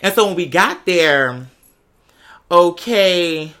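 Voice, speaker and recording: A man's voice: sits high, animated, takes it slowly, bright, forceful tone, smooth. An American man chatting casually like a gossipy host, with a sassy manner and dramatic emphasis as he tells personal stories. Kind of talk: casual chat, gossipy storytelling.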